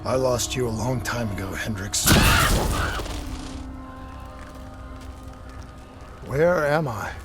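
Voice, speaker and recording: A man's voice: medium pitch, lively intonation, normal speed, natural voice. An actor playing a determined lone soldier in a video game, grave and emphatic as he confronts a corrupted comrade. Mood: grieving. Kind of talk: dramatic video game acting. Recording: loud music over the voice.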